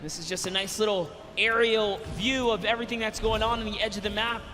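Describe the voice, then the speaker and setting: A male co-caster giving energetic commentary on a live esports match, shouting at key moments. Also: high voice, a little fast, slight background noise. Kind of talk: color commentary. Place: live arena event.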